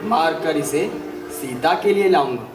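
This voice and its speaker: A man playing a noble, resolute young prince in a measured mythological audio drama, heard as echoey, distant playback. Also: fairly high pitch, music in the background, close to an everyday voice. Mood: calm, resolute. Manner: measured, declamatory.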